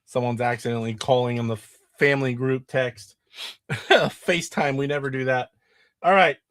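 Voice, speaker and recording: A man's voice: medium pitch, steady tone, normal speed, fairly bright tone, no room sound, studio quality. A middle-aged man hosting a casual live stream about retro games, sick and nasally with his voice starting to go. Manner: rambling, demonstrating games live. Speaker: retro gaming show host.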